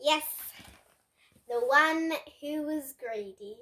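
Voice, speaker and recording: A young girl reading a picture book aloud as host, with expressive, dramatic storybook phrasing. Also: sits high. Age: child. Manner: slow, deliberate, dramatic phrasing.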